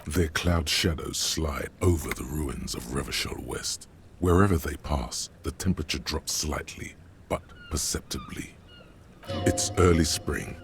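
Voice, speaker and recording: Very deep, gravelly, brooding narrator. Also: male voice, slight background noise, exaggerated voice. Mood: grave, poetic. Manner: deliberate, brooding, literary. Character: brooding omniscient male narrator, inner voice of a detective. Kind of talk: scripted video game narration.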